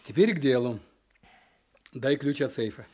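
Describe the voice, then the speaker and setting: An actor playing a gruff, wry district chief in a radio play, questioning briskly with dry authority. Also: male voice, mid-range voice, animated, darkish tone. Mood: businesslike, exasperated.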